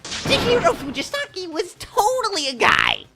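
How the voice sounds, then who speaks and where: A woman's voice: extremely high pitch, wildly swooping pitch, moderate pace, creaky, bright, forceful tone, faint music behind, heavily acted. A woman voicing a gleeful, mischievous mascot in an anime game fan dub, theatrical and mock-polite. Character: gleeful mischievous mascot running a deadly trial.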